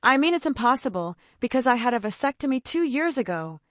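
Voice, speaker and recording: A woman's voice: a little high, very animated, fairly quick, no echo, clean studio sound. A woman storyteller voicing a cold, bitter husband with curt, accusing words.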